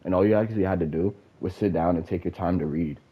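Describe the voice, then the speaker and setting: A man's voice: sits low, fast talker, muffled recording, a little hiss or hum. A man with a nasal, congested voice ranting casually about a game, profane and rambling with lots of fillers. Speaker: gamer giving opinions. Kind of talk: casual rant.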